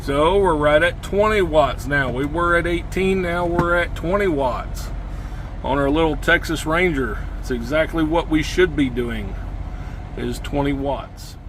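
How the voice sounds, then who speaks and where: A man's voice: on the high side, measured pace, background noise. A man hosting a casual gear demonstration vlog from inside a truck cab, with a folksy, informal way of talking. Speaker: video host, CB radio enthusiast.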